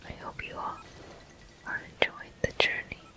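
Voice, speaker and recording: A person whispering gameplay commentary for an ASMR video, breathy and gentle, in short, unhurried phrases. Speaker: host of a gaming ASMR video.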